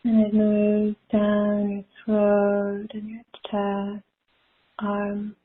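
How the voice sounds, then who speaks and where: Woman, guided meditation leader, very slow pace, gentle and soothing, long pauses, tinny phone-like call audio. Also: medium pitch, completely flat delivery, slightly rough.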